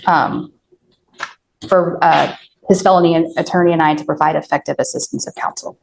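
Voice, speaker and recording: An American woman arguing as a defense attorney in court, measured and deferential, with hesitant phrasing. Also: deep voice, gravelly, poor audio. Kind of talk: formal courtroom argument.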